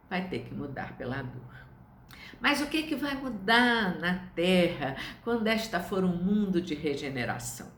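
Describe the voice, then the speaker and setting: A woman in her seventies with a Brazilian accent, giving an informal religious lecture to online viewers in a measured, didactic way. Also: mid-range voice, a bit bright, slight background noise. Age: 70s. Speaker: spiritist study lecturer. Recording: echoey room.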